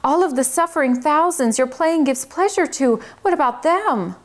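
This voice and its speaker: Woman voicing a fussy squeaky male mouse. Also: lively intonation. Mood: fussy, insistent. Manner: bossy, quick to fluster, squeaky. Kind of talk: children's book read-aloud.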